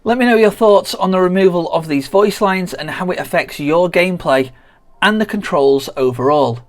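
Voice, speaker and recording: A gaming channel host narrates a scripted video essay at a middling pitch. The delivery is measured and explanatory, point by point. Music plays behind the voice. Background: background music.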